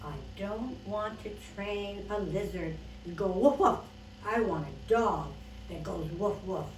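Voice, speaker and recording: A woman's voice: mid-range voice, slow pace, roomy sound, far from the mic, slight background noise, lightly acted, low-quality recording. A woman voicing a stubborn, plain-spoken little girl in a storybook reading, firm and insistent.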